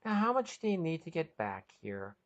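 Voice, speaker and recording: An exasperated girlfriend who gives in, voiced by a woman narrator. She sounds firm yet resigned, with lifted pitch and a slightly dull recording. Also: big pitch swings, moderate pace. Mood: forgiving, firm. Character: exasperated but forgiving young girlfriend.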